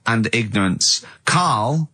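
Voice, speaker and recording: An English man co-hosting a radio show with excitable, teasing banter and a readiness to laugh. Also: lowish voice, takes it slowly, no room sound, studio quality. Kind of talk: unscripted comic radio banter.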